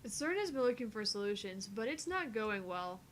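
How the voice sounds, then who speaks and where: A cool, intelligent young scientist voiced by a woman with a medium-pitched voice. She sounds precise and a little prickly, in visual novel style, over a faint low rumble. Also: big pitch swings, moderate pace, natural voice. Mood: analytical. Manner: precise, matter-of-fact explaining.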